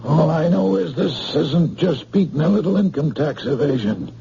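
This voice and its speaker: A snarling mob boss. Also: male voice, a little high, expressive, moderate pace, vocal fry, dark tone, a little hiss or hum. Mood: threatening. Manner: snarling tough-guy gangster talk. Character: tough male mob boss, menacing and demanding. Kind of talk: 1950s radio drama acting. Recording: dull lo-fi old radio broadcast.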